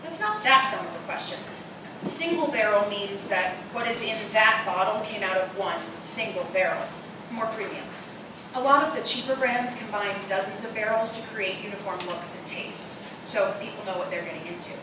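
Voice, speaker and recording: A woman's voice: medium pitch, normal speed, lightly acted. A woman playing a witty, opinionated single woman who loves bourbon, in live radio-play dialogue. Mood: savoring, explaining. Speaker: stage actor. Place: live theatre performance space. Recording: echoey, noisy live recording from far off.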